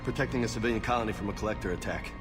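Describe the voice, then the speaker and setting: An actor playing a brash, guarded young marine in a video game, informal and blunt. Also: male voice, fairly low pitch, rapid-fire, loud music behind the voice, close to an everyday voice. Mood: bitter. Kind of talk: video game cutscene acting.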